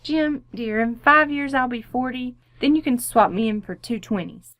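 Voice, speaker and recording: A woman's voice: on the high side, expressive, moderate pace, a bit bright, dry recording. A woman playing a composed, dry-witted wife and mother in her thirties in an old-time radio family comedy. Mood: wry. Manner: wry, composed.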